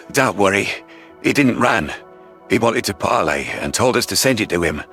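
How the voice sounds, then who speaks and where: A man voicing a resigned, solemn alien sentry with a measured manner in an audio story. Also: lowish voice, fairly bright tone, voice pushed down. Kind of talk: audio story character dialogue.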